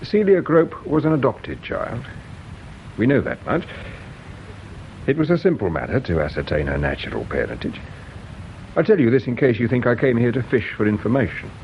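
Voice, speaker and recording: An actor with an English accent playing a polite, patient, shrewd police inspector in a British radio drama, measured and courteous. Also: male voice, mid-range voice, huge pitch swings. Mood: grave, insistent. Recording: dull radio drama recording with hiss.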